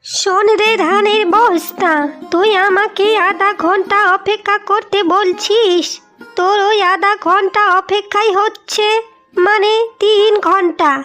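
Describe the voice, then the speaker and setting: Shrill, scolding old mother-in-law. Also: fairly even intonation, measured pace, fairly bright tone. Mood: angry scolding. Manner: nagging, insulting, complaining. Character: scolding, bitter village mother-in-law with grown son. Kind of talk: Bengali cartoon moral story acting. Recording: music behind the voice.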